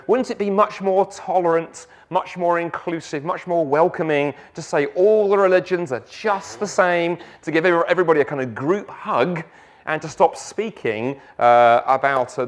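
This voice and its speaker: Casting middle-aged man with a South London accent, slightly high voice, lively guest preacher who throws in jokes. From England. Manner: lively, joking asides, rhetorical questions. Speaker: guest speaker. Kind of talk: evening church talk.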